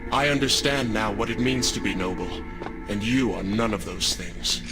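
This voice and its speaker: An actor playing a proud, troubled young warrior prince in a video game, earnest and intense. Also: male voice, fairly low pitch, fairly even intonation, normal speed, natural voice. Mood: angry, firm. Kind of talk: video game hero acting. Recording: music under the voice.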